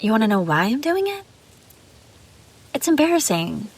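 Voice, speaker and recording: A woman's voice: on the high side, very animated, moderate pace, breathy edge, full character voice. A woman playing an obsessive, scheming young babysitter in an intimate audio roleplay, pleading and defensive. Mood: confessing, insistent. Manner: pleading, defensive, hesitant then insistent. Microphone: close, dry microphone.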